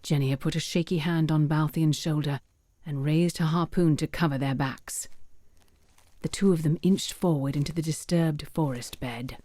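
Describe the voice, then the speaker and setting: Low, mellow female narrator. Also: fairly even intonation, normal speed, dry recording. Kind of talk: scripted audio drama narration.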